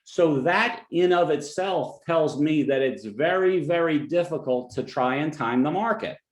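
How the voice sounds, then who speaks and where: A man's voice: a little high, expressive, unhurried, echoey room. A man from New Jersey with a New Jersey accent, a financial advisor giving a client webinar presentation in a conversational way, with joking asides and frequent restarts.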